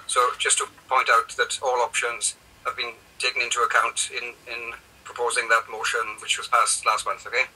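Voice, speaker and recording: Older Welsh man, chairing, hesitant, husky edge, distant office mic on a video call. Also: mid-range voice, completely flat delivery, pushed and bright. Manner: hesitant, halting, many fillers. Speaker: mayor chairing council meeting. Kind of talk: chairing a meeting. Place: office, indoors.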